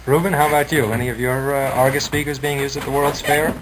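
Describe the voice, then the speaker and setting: A man's voice: mid-range voice, level tone, fast talker. A man hosting a conversational radio interview, asking questions in polite, somewhat formal phrasing. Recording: noisy old 1960s radio recording.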